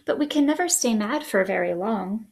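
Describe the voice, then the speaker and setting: A woman in her thirties hosting a children's story time, reading aloud in an expressive, sing-song way at home. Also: fairly high pitch, normal speed, gravelly. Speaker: children's librarian, storytime host. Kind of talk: picture book read-aloud for young children. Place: small living room indoors.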